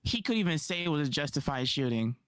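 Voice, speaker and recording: A man doing casual livestream reaction commentary, incredulous and quick to rebut, on a close mic. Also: a little high, no echo. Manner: animated, incredulous, rapid rebuttals.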